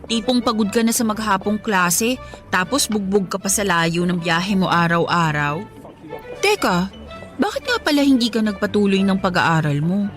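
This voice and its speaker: A woman's voice: medium pitch, lively intonation, moderate pace, faint music behind, close to an everyday voice. A woman playing a curious, teasing young woman in a radio drama. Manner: inquisitive, teasing.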